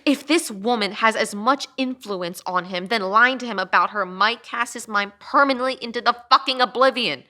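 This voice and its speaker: A woman's voice: fairly high pitch, normal speed, few pauses, bright, forceful tone. A woman playing a hot-headed, sarcastic cop, incredulous and argumentative, in a dramatic read. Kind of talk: dramatic table read acting.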